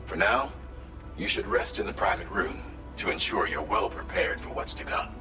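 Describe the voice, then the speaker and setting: Deep, distant male commander voiced by an actor. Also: close to an everyday voice. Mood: serious, instructing. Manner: formal, measured, authoritative. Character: sober male mission commander giving orders. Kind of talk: scripted game mission briefing. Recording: distant, roomy sound under music.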